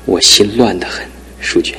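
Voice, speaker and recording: An actor in a radio drama playing a despairing young man crippled in one leg, his talk swinging from hushed to anguished outbursts. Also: fairly low pitch, moderate pace, faint music behind, poor audio. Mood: remorseful. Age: young adult. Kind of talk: broadcast radio drama acting.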